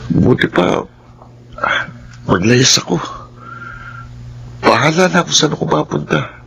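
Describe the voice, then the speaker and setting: A man's voice: on the high side, animated, a little slow, creaky, a bit bright, husky, heavily acted. A man playing a timid, mistreated, hungry teenage boy in a Filipino radio drama, plaintive and polite, with heavy noise behind. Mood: weary, anxious. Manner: plaintive, polite, self-pitying.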